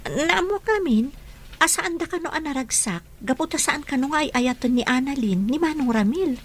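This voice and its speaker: A woman's voice: high-pitched, expressive, normal speed. A woman with an Ilocano accent playing a chatty young villager confiding gossip in a radio drama. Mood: gossipy.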